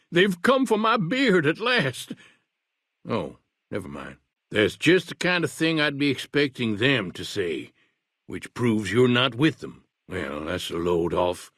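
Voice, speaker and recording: A man's voice: medium pitch, fairly bright tone, husky, dry recording, studio quality, heavily acted. A man voicing a paranoid, rambling older wasteland eccentric in a video game, with a folksy backwoods drawl and a suspicious, conspiratorial manner. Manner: suspicious, conspiratorial, jumps between whispers and outbursts.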